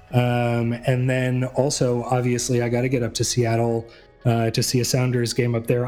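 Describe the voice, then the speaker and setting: Casual male podcast host, young adult, mid-pitched, rambling solo talk over faint music. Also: dark tone. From New England, USA. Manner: rambling and chatty, many fillers and restarts.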